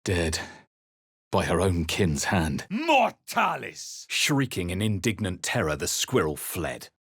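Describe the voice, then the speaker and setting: A man voicing a wry, watchful fantasy adventurer in a video game, with a measured, dramatic delivery. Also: low voice, huge pitch swings, a bit bright, breathy edge, dry recording, clean studio sound, heavily acted. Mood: forceful incantation, then intense, hushed. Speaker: player character voice in a video game. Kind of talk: scripted game narration, barks and spell incantations.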